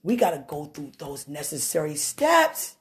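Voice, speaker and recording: A woman with a deep voice giving an animated testimony and preaching, swinging from loud bursts to hushed asides. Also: measured pace.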